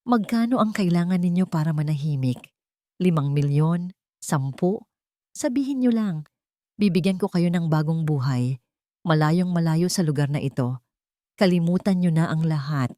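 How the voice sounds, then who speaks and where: Storybook villainess, rich arrogant aunt read by a woman, threatening, condescending, low-set dry voice. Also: animated, moderate pace, long pauses, warm tone, no room sound. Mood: desperate bribing. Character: menacing wealthy aunt, arrogant villainess turning desperate.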